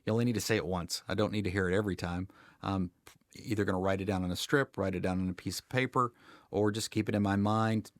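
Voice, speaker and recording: A man's voice: sits low, fairly even intonation, fast talker, no echo. An American man speaking conversationally as an expert podcast guest, in an emphatic, plain-spoken way with dry humor. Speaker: guest air traffic controller.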